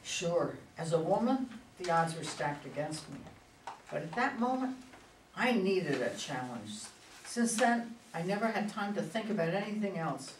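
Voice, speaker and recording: A woman's voice: fairly low pitch, animated, normal speed, natural voice. A woman in a staged play reading, playing a guarded but tender widowed politician. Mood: resolute, reflective. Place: echoey room at a reader's theater. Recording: roomy, distant mic, faint noise.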